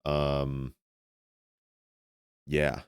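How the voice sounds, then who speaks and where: A man's voice: extremely low pitch, monotone, extremely slow, smooth, dry recording, clean studio sound. A man in his twenties, a native Spanish speaker, chatting casually as a livestreamer in a rambling way, often repeating words. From United States. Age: 20s. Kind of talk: casual chat with stream viewers.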